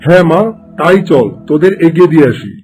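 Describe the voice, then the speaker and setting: A man playing a kindly, gentle older father in a Bengali fairy-tale cartoon. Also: on the high side, expressive, fairly quick, close to an everyday voice. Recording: faint music behind the voice.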